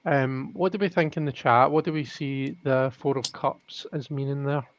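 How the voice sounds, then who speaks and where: A man's voice: medium pitch, fairly even intonation, moderate pace, dry recording. A man hosting a live tarot stream, thinking aloud in casual chat with many restarts. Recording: dull lo-fi audio.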